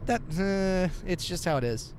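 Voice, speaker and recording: Earnest, talkative male podcast guest, slightly high voice, handheld mic in a moving car, plenty of road noise. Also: lively intonation, measured pace, a little mellow. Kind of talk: casual chat. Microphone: handheld mic held backwards.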